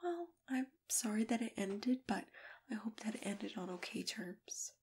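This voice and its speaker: A woman playing a kindly, attentive matchmaker in a soft, gentle ASMR roleplay, often dropping into whispers as she talks unhurriedly to a client. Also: medium pitch, expressive, unhurried, husky, exaggerated voice. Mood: sympathetic. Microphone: close ASMR microphone.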